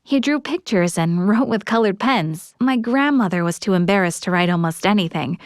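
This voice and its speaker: A woman's voice: mid-range voice, animated, moderate pace, dry recording, studio quality, lightly acted. An actress voicing an earnest, modest young woman, a space officer from a prestigious family, in a video game, speaking politely and sincerely. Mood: friendly, chatty. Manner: polite, self-effacing, sincere.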